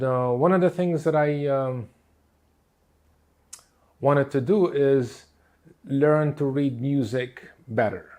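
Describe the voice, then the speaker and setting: Thoughtful man, many pauses. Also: medium pitch, warm tone. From Lebanon. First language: Portuguese. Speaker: speaker on inner child work. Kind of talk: reflective teaching talk.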